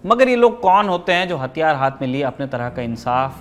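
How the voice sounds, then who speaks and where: Higher-voiced man presenting news commentary. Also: big pitch swings, brisk. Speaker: news show host.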